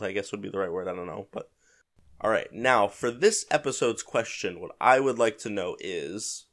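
A man with a somewhat low voice hosts his podcast alone, a touch congested from a head cold. He talks in a laid-back, rambling way, restarting sentences and correcting himself as he goes. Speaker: podcast host. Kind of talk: casual solo podcast talk.

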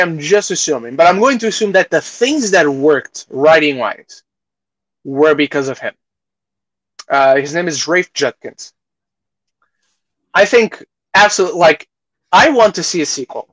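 A man's voice: fairly high pitch, fairly bright tone, no echo, low-quality recording. A man hosting a podcast, chatting casually about films in an opinionated way and swearing freely. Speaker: podcast host. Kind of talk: casual film discussion.

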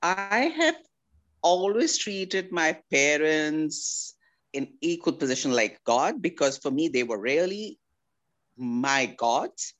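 A woman's voice: sits low, very animated, takes it slowly. A woman in her sixties from India, a guest in a casual family interview, who talks affectionately and calls people darling.

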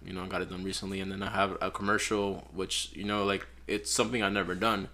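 A man's voice: sits low, fast. A man in his twenties from Peru, a photographer chatting casually in a podcast interview, rambling with lots of "like" fillers. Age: 20s. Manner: relaxed, rambling, many "like" fillers. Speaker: photographer guest.

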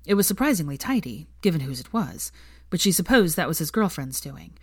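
A woman reading fiction aloud as an audiobook narrator, measured and gentle in her storytelling. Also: sits low, big pitch swings, breathy edge, no echo, clean studio sound. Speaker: audiobook reader of fan fiction.

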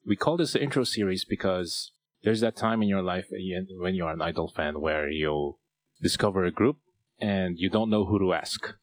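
Man, Filipino accent, very low voice, podcast host, casual rambling chat, lots of fillers. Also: moderate pace, no room sound.

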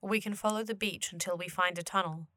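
A woman playing a calm otherworldly guardian and guide of the dead in a fantasy audio drama, with formal, measured phrasing. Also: lowish voice, no room sound, studio quality. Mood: composed. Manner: measured, formal, avoids contractions.

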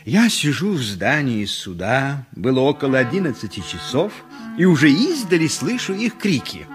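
An actor playing a seasoned, self-satisfied middle-aged city judge, narrating in a knowing way in a radio play. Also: male voice, mid-range voice, sing-song, moderate pace, music in the background, close to an everyday voice. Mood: smug, unruffled.

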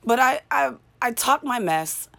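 A woman hosting her own podcast in a casual solo monologue, with a raspy voice and a chatty, candid manner that drifts into swearing rants and self-mocking humor. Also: fairly high pitch, lively intonation, a little slow, a bit bright, no echo. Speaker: podcast host.

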